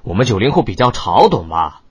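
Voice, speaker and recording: A man's voice: on the low side, very animated, a little fast, breathy edge, heavily acted. An actor playing a cocky, mocking young man, a brash older brother in a romance audiobook, with a drawling, needling delivery. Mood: smug teasing. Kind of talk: audiobook character dialogue.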